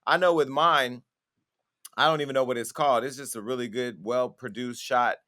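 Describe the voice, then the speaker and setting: A man hosting a talk show, telling gossip stories in a chatty way with quick asides. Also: medium pitch, fairly even intonation, sharp tone. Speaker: host. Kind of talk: casual talk-show chat.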